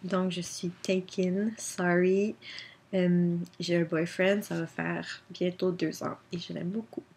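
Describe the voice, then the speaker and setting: A woman's voice: on the low side, flat delivery, takes it slowly, creaky, a little mellow. A young woman with a Québécois French accent chatting casually to her webcam, answering questions with many fillers. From Quebec. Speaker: vlogger.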